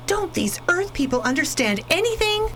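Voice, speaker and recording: A woman's voice: extremely high pitch, big pitch swings, normal speed, fairly bright tone, slight background noise, exaggerated voice. A woman playing a fierce, blunt, proud alien warrior wife in a space opera radio drama.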